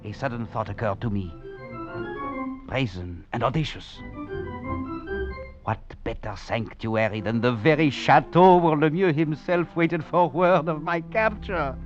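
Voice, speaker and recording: An actor playing a roguish adventurer on the run, in a theatrical, grandiloquent old radio drama style. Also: male voice, medium pitch, a little slow, natural voice. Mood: dramatic narration. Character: roguish, swaggering male thief and adventurer on the run. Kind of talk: 1940s-50s radio drama acting. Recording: muffled old radio recording with music behind.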